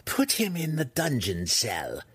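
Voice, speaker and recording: A man voicing an imperious, scheming, cruel dark elf matriarch in a fantasy audiobook, condescending and wry, drawing out the words. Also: fairly low pitch, wildly swooping pitch, husky, dry recording, exaggerated voice. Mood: commanding. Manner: condescending, wry, drawn-out delivery.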